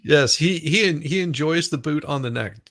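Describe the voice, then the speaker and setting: Gravelly Southern man chatting. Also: on the high side, moderate pace, a bit bright, no echo, studio quality. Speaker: live stream guest. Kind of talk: casual chat.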